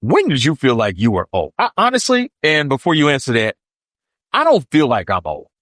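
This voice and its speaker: Animated man in his forties, dry close sound. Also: fairly quick, no room sound. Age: 40s. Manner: animated, laughs often, acts out quotes in a high voice. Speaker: podcast co-host. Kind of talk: casual storytelling chat.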